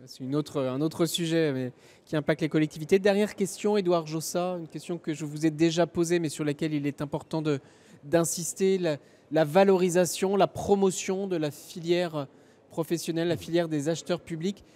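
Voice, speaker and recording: Guy doing interview questions, kinda high but mellow voice, measured recaps. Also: steady tone.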